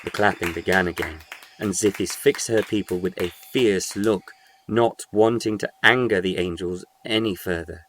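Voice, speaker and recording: Male narrator, low pitch, scripted short story reading, faint noise behind. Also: normal speed, fairly bright tone, low-quality recording.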